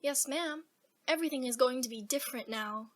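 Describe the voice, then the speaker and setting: A plucky little country girl, acted by a female performer, with a very high voice. She talks plainly and earnestly in a childlike, folksy way, as in a radio play. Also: fairly quick, fairly bright tone. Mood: eager, reassuring. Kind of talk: radio drama acting.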